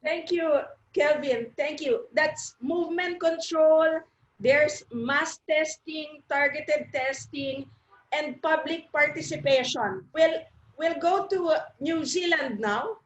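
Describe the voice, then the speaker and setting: A woman moderating a webinar informally, summarising in a halting way from a room on a video call. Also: high-pitched. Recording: echoey, dull video call.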